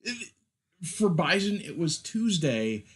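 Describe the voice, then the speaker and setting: A man on a podcast panel chatting casually about films in a halting, wry manner. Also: on the high side, big pitch swings. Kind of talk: casual film chat.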